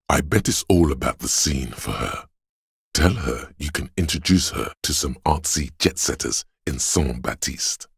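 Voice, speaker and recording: The seductive inner voice of craving in a video game, extremely deep and thick with gravel. He drawls and insinuates like a tempter, husky and rough-edged, always goading toward pleasure. The sound is close and dry. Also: no echo, heavily acted. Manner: sensual, drawling, insinuating, savouring words. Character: hedonistic inner voice of addiction and lust, seductive and goading. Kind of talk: video game character voice-over.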